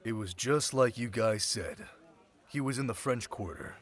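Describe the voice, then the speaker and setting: A man's voice: medium pitch, animated, normal speed, lightly acted. A man playing a sly, centuries-old thief turned security specialist, talking in a casual, teasing way in an audio roleplay drama. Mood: businesslike, confiding. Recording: loud crowd chatter behind voice.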